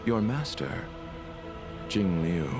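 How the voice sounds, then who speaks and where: Suave mysterious prisoner in a game, deep n very expressive pitch, formal, loud music behind. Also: male voice, gravelly, full character voice. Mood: knowing. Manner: deliberate, formal, storytelling. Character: suave, composed, enigmatic male prisoner. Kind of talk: video game voice acting.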